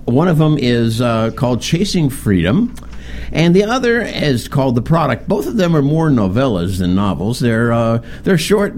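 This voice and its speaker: A man hosting a radio show in casual, jokey banter, halting and repeating himself as he goes. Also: medium pitch, darkish tone. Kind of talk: casual on-air banter and guest introduction.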